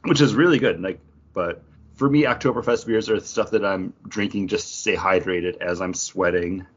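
Husky man chatting casually, dull audio. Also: sits low, moderate pace. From Michigan, United States. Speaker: podcast co-host. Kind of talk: casual banter.

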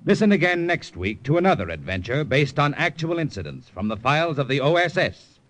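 A man's voice: a little high, sing-song, a little fast, heavy background noise. A male radio announcer giving a solemn, formal scripted narration. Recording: old radio broadcast with music.

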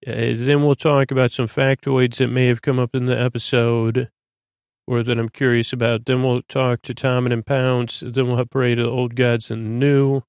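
A man hosting a bedtime podcast in a creaky, lulling voice, droning along in a halting, stammering, meandering monologue meant to help listeners sleep. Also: medium pitch, level tone, darkish tone, no room sound, clean studio sound. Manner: halting, stammering, repeats and plays with words. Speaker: podcast host.

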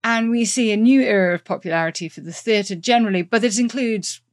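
A woman hosting a history interview, putting measured, articulate questions to a guest. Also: mid-range voice, no echo, clean studio sound. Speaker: podcast host and interviewer.

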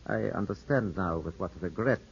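A polite, insinuating gentleman in a fifties radio play. His voice is lowish and husky, his manner courtly and measured. The sound is a muffled old broadcast. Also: exaggerated voice. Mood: courteous, insinuating. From Italy. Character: suave, insinuating Italian gentleman, polite and sly. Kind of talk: 1950s radio drama acting. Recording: dull 1950s radio broadcast.

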